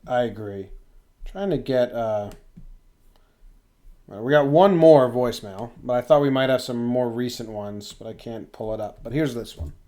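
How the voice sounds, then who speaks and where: A man's voice: mid-range voice, moderate pace, mellow. A man with an American accent hosting a podcast, talking casually about sports. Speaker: podcast host.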